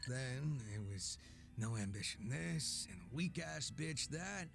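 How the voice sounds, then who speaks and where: An actor playing a cocky, laid-back former gang boss in a video game, teasing and sardonic with a languid drawl and casual swearing. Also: male voice, mid-range voice, lively intonation, slow, vocal fry, music in the background. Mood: jaded. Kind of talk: English video game voice acting.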